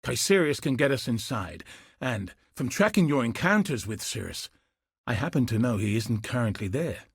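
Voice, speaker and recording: A man voicing a grim, dutiful soldier commander in a video game, speaking with husky, solemn, earnest resolve. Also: mid-range voice, very animated, moderate pace, no echo, studio quality, full character voice. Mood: urgent, resolute. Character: grim, dutiful male soldier commander hunting cosmic threats. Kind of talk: scripted video game character dialogue.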